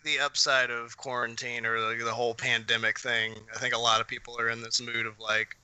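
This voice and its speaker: A man co-hosting a podcast in casual chat, telling things in an animated, sweary way. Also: medium pitch, a little fast, clear, resonant tone, poor audio. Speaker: podcast co-host.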